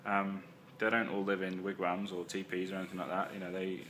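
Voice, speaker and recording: Man, travel vlog recap, hesitant, deeper voice, slight background hiss. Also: completely flat delivery, brisk, a bit bright, low-quality recording. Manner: hesitant, many ums and uhs, self-correcting. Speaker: travel vlogger. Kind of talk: casual rambling travel recap. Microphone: phone or handheld camera.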